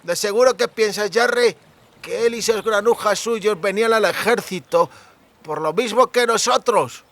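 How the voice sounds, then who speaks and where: A man's voice: high voice, a little slow, fairly bright tone, voice pushed up. A man voicing a drunken, boisterous, friendly young cooper with rustic, exclamatory speech for an audiobook. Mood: indignant, gossiping. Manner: exclamatory, colloquial rustic speech with clipped words.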